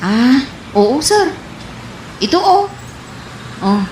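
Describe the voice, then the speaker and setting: A woman's voice: high-pitched, sing-song, extremely slow, a little mellow, exaggerated voice. A woman with an Ilocano accent playing a boastful, trusting wife with a breezy, dismissive manner in a comedy radio drama. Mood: eager, polite. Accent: Ilocano, from the Philippines. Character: boastful, trusting married woman showing off savings. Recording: echoey room, faint background noise.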